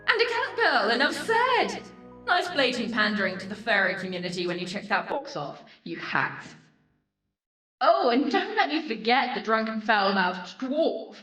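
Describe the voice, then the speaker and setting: An actress playing a vain, scornful succubus demon in a video game, with theatrical, haughty, sarcastic delivery. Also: female voice, medium pitch, fairly bright tone, echoey room, far from the mic, natural voice, low-quality recording. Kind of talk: video game character dialogue.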